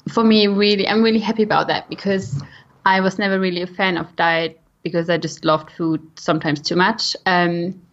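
A woman's voice: fairly low pitch, steady tone, moderate pace. A young woman from Germany with a German accent, telling her own story conversationally as a podcast guest. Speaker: podcast guest, nutrition coach. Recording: dull, slightly lo-fi audio.

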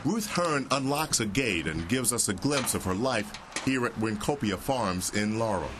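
A man narrating a TV news report in a steady, measured way. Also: on the low side, very noisy.

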